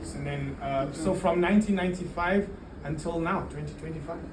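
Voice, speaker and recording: A man's voice: a little high, fairly quick. A middle-aged man who works as a teacher, giving casual answers as an interview guest in a roomy, noisy recording from a distant mic. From Zimbabwe-born, raised in the UK and South Africa.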